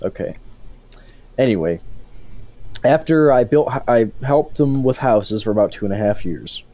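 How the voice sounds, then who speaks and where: A man's voice: medium pitch, expressive, measured pace, warm tone. An eighteen-year-old man co-hosting a casual podcast, rambling and restarting his sentences as he talks. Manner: rambling, self-interrupting, with frequent restarts. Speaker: podcast co-host.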